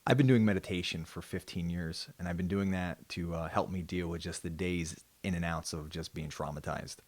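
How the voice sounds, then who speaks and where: Deep-voiced man rambling in a dry room. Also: fairly even intonation, moderate pace, dry recording. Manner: rambling, self-mocking, with sudden loud jokey bursts. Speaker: podcast host. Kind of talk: casual solo monologue.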